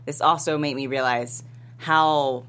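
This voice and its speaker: A woman's voice: very deep voice, steady tone, unhurried, a bit bright. An American woman from Texas chatting casually as a panelist, acting out little quoted lines as she goes. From Texas, USA. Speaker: table read panelist.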